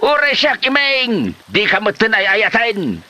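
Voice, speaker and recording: Guy acting grumpy stubborn old ilocano husband, highish sharp voice, bickering and swinging all over, comedy radio drama. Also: huge pitch swings, slow pace, full character voice. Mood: taunting. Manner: bickering, exclamatory. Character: quarrelsome old husband and father, stubborn, taunting.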